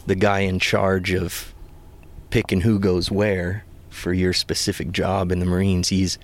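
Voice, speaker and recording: Guest, man in his 30s from the Pacific Northwest, deep monotone, laid-back storyteller. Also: measured pace. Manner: easygoing, soft-spoken, drawling storyteller. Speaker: guest, former Marine. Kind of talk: casual interview chat. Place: front seat of a parked van, door open, rain.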